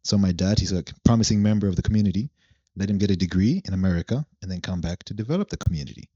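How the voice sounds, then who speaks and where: A young man from Zambia, a podcast guest, explaining his background at length in a relaxed chat. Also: sits low, rapid-fire, slightly rough, no echo, lo-fi sound. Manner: relaxed storytelling, long explanations. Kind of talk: casual chat.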